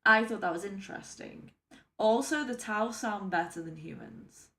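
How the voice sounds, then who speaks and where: A woman hosting a reaction video, chatting casually in a playful manner with quick asides. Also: mid-range voice, creaky, pushed and bright. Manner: chatty, playful, wanders between hype and mumbled asides. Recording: roomy echoey room.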